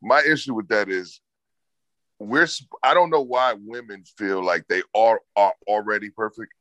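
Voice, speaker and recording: Deep-voiced, boisterous man, firm and authoritative, dry close sound. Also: normal speed, no room sound, highs cut off. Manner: loud, boisterous, talks with authority. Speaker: podcast co-host. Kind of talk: casual debate chat.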